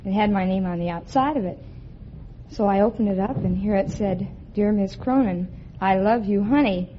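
A woman's voice: medium pitch, normal speed. Young American female contestant, mid-range voice, muffled vintage radio, faint noise. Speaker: contestant, schoolteacher. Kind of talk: answering a quiz host's questions.

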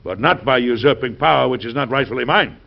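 An actor playing a genial middle-aged American president and husband in an old-time radio drama, speaking formally with a hearty, deliberate manner. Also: male voice, medium pitch, expressive, vocal fry. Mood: firm, courteous. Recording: dull, noisy old radio recording.

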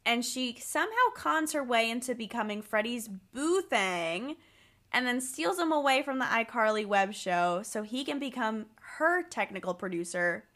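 A woman in her twenties co-hosting a casual rewatch podcast, giggly and quick to agree. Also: fairly high pitch, lively intonation, a bit bright. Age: 20s. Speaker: podcast co-host.

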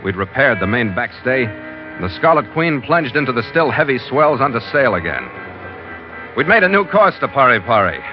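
Male actor as laconic American skipper, mid-pitched, hard-boiled radio delivery, muffled vintage recording, prominent music. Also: sing-song, normal speed, close to an everyday voice. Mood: measured narration. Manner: clipped, dry, hard-boiled. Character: tough, laconic, skeptical sea captain narrating his adventure. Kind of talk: 1940s radio adventure narration and dialogue. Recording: dull old radio recording, loud music.

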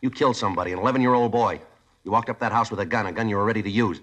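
A stern deadpan cop, very low voice, rapid clipped lines. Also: male voice, slightly rough. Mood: angry. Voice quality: clipped, flat, matter-of-fact delivery. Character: stern deadpan male police detective, narrator. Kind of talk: 1950s police drama acting.

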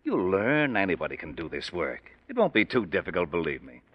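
An actor playing a smooth-talking, scheming middle-aged American family man and boss in an old-time radio drama. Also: deep voice, big pitch swings, brisk. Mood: persuasive. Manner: persuasive, reassuring, evasive. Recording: dull, muffled vintage radio recording.

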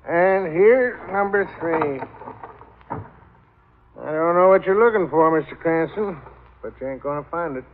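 An actor playing a folksy, chatty old morgue attendant with ungrammatical speech in an old-time radio drama. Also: male voice, fairly high pitch, animated, unhurried, long pauses, narrow, tinny audio. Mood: chatty, matter-of-fact. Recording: noisy, phone-thin old radio recording.

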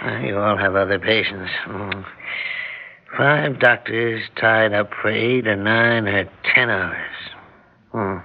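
A weary old scholar in his seventies in a vintage radio play. His voice is low and very slow, halting and wistful, with a gentle wry edge. The recording is old and muffled. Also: creaky, a little hiss or hum. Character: weary lonely old male medical researcher, wry and gentle. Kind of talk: old-time radio drama acting.

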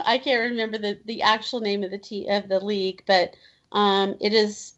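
Chatty woman in her fifties, higher voice. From Indiana, US. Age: 50s. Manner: chatty, many um fillers and restarts, laughs often. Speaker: children's book author, guest. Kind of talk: casual interview chat. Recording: slightly dull lo-fi audio.

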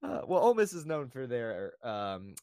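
A man's voice: mid-range voice, sing-song, normal speed, darkish tone, no room sound, clean studio sound. A man hosting a casual sports podcast, talkative and easygoing, with fillers and repeated words. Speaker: podcast host.

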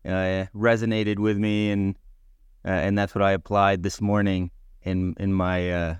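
A man co-hosts a podcast in a casual, conversational way. His voice sits slightly low and sounds clean and smooth, recorded dry and close. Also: flat delivery, a little slow, no room sound. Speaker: podcast co-host.

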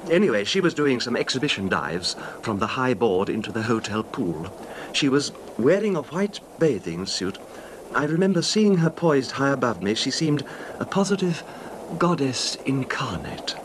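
A man's voice: mid-range voice, very animated, lightly acted. A man acting a genteel, hesitant middle-aged husband, a wealthy and nervous recluse, in a radio drama. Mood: wistful, reminiscing. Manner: genteel, wistful, halting, formal wording. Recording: noisy vintage radio drama recording.

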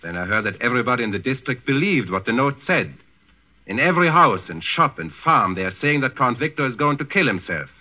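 An actor playing a suave, menacing German Nazi district governor in a 1940s radio drama, with a clipped, condescending manner. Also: male voice, medium pitch, very animated, brisk, narrow, tinny audio. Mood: blunt, officious. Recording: band-limited old 1940s radio recording.

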